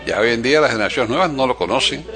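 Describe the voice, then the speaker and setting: Older man reminiscing, halting and repetitive. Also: mid-range voice, animated, over music. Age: 60s or older. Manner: halting, repeats words. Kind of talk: casual reminiscing chat.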